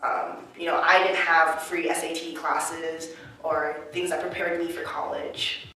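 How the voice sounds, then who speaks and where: A woman's voice: deep voice, level tone, unhurried, bright, forceful tone. A young adult woman, a college student whose first language is Chinese, answering interview questions in a reflective, casual way with many "like" fillers. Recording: echoey room, mic far off.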